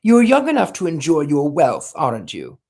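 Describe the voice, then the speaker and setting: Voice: mid-range voice, wildly swooping pitch, moderate pace, a little mellow. A reader voicing a chatty, frank young American society woman in a novel read aloud, with breezy, naive chatter. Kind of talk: audiobook character voice.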